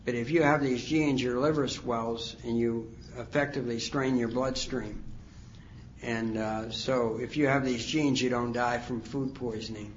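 A man in his sixties telling his recovery story at a conference, rambling and halting with many fillers. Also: medium pitch, fairly even intonation, lo-fi sound, slight background noise. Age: 60s. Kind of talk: personal testimony talk. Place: conference hall.

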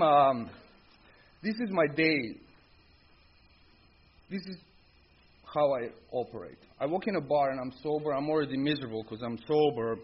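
A middle-aged man from Croatia with a Croatian accent, telling his personal story at a recovery meeting in blunt, candid, short sentences. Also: a little high. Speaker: speaker sharing his story at an Alcoholics Anonymous meeting. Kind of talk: personal recovery testimony, informal spoken storytelling. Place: live talk at a recovery meeting. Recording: dull lo-fi audio with clear background noise.

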